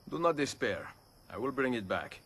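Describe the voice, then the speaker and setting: A man playing a confident, smooth-talking Italian nobleman and assassin in a video game cutscene, with an Italian accent. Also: mid-range voice, sing-song, moderate pace, a bit bright. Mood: calm, confident.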